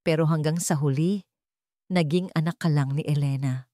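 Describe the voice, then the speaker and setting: A woman narrator voicing a wronged wife who is calm, firm and unforgiving in an audiobook drama. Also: low-pitched, normal speed, no room sound, studio quality, natural voice. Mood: calm, final. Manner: calm, resolute.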